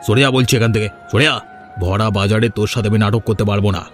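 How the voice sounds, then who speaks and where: A man plays a bullying village elder brother in a cartoon, lowish in pitch and quick in delivery. He is brusque and impatient, scolding. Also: steady tone, lightly acted. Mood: scornful, angry. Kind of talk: moral-story cartoon dubbing. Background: faint music.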